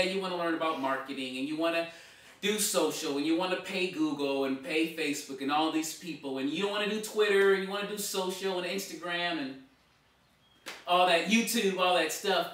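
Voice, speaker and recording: A man in his thirties, a blogger and author, talking casually to camera and gesturing a lot as he speaks. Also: on the high side. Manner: animated, gesturing with both hands. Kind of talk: casual vlog talk to camera. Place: indoor dining room. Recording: echoey room from far off.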